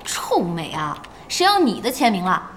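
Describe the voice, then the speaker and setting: A young woman playing a spirited, bossy gamer in an audio drama, brisk and mocking in tone. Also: medium pitch, wildly swooping pitch, a little hiss or hum. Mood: teasing. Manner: brisk, mocking, big-sisterly.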